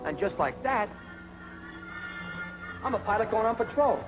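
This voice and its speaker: An actor playing a cocky, bumbling young navy pilot who brags and wisecracks, in the acting style of a 1940s training film. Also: male voice, high voice, lively intonation, unhurried, low-quality recording. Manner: wisecracking, boastful, jeering. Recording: old film soundtrack with music and hiss.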